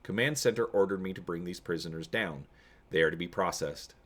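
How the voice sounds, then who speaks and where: A man's voice: sits low, a little fast, fairly bright tone. A man voicing an earnest, guarded young undercover hero in a dramatic story reading. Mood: firm, official.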